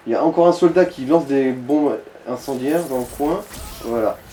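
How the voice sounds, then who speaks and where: Mellow man in an echoey room. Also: medium pitch, normal speed. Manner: informal, crude slang. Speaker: co-commentator advising the player. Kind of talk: casual let's play commentary. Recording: roomy echo, background noise.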